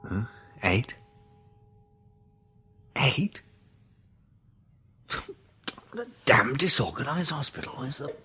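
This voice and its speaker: An actor playing an agitated British hospital patient, speaking hesitantly and with exclamations in a radio drama. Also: male voice, mid-range voice, sharp tone, slight background noise. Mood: irritable, anxious. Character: agitated male hospital patient with bandaged eyes.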